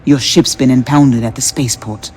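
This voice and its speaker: An actor playing a businesslike aide in a video game, reporting in a matter-of-fact but urgent way. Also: male voice, mid-range voice, steady tone, moderate pace, faint music behind, close to an everyday voice. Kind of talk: video game dialogue acting.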